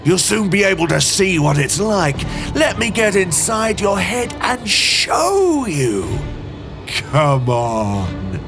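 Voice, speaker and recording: An actor playing a sadistic, possessed psychopath who taunts his prey in a sing-song, drawn-out way in an audio drama. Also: high-pitched, big pitch swings, heavily acted. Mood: mocking glee. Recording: echoing voice under music.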